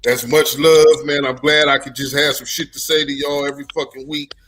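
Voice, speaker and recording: A man's voice: on the high side, little pitch movement, brisk, a bit bright, a little hiss or hum. A man hosting a casual podcast, signing off in laid-back slang and repeating "you know what I'm saying". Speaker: podcast host.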